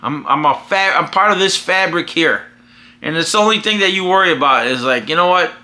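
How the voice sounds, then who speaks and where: An American man sounding off in a vlog, his voice fairly high and strikingly sharp and forceful. He rambles emphatically, starting phrases over again and again. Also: animated, a little fast. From United States. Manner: emphatic, rambling with frequent restarts. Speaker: vlogger and podcaster. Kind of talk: casual opinionated monologue.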